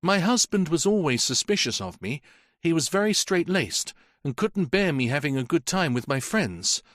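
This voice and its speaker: A man's voice: on the high side, big pitch swings, normal speed, a bit bright, no room sound, clean studio sound, pitched above the performer's own voice. A man voicing a frightened, evasive woman under police questioning, tired and plaintive, stammering as she speaks. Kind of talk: audiobook character voice.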